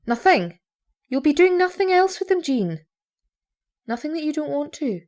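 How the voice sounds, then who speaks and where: A woman's voice: high-pitched, sing-song, normal speed, a little mellow. An actress playing a protective, outspoken woman doctor with a blunt manner in an audio drama. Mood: indignant.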